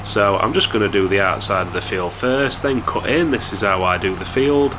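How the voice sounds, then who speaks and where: A young man from England with a hoarse voice from a cold, chatting casually to viewers while commentating a game. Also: on the low side, moderate pace, noisy background. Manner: chatty, relaxed, talks straight to viewers. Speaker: gaming YouTuber.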